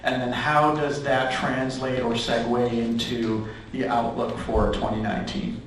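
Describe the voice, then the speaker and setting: Panel moderator echoing through a hall. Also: male voice, medium pitch, flat delivery, a little slow, slight background noise. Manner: polite, framing long questions. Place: conference stage in a large hall. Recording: echoey hall, distant mic.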